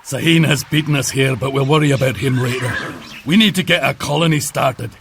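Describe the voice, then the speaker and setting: A man's voice: medium pitch, fairly quick, gravelly, background noise, natural voice. A man playing a grave, resolute knight commander in a video game cutscene. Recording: music behind the voice.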